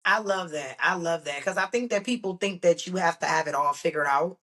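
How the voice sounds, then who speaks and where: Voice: medium pitch, fairly quick, bright, forceful tone. A podcast host in casual conversation, affirming the guest and reflecting on their own move abroad. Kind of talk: casual interview talk.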